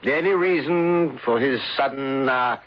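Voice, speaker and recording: An actor playing an ingratiating, mournfully sympathetic English gentleman in a radio drama, with a florid, hesitant delivery. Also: medium pitch, a little slow, lightly acted. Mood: probing, insinuating. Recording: dull, lo-fi 1970s radio recording.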